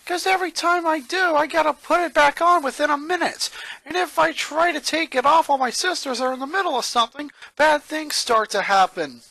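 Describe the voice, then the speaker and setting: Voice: high voice, fairly quick, creaky, pushed and bright, no echo, voice pushed up, exaggerated voice, rough recording. A narrator voicing a weary, frustrated preteen boy in a cartoon fan-fiction reading. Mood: frustrated, whiny.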